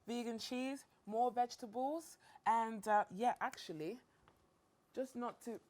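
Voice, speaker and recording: A woman's voice: fairly high pitch, unhurried, a little mellow. A young woman in her twenties demonstrating a simple recipe on a studio show, chatty and encouraging. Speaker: guest cook. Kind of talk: cooking demonstration.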